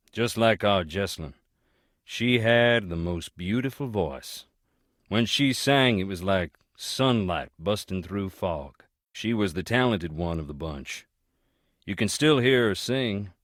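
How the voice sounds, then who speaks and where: A man's voice: fairly low pitch, a bit bright, no echo, studio quality. An actor with a Southern US accent playing a blunt, folksy, brooding man haunted by family tragedy in a video game. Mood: somber, then ominous. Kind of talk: video game character dialogue.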